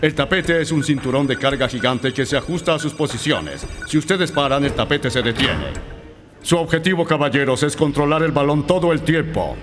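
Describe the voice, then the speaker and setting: Casting: commanding cartoon soccer coach, stern and instructive, animated intonation, music behind. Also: male voice, on the high side, moderate pace. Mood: stern, explaining. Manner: authoritative, instructing.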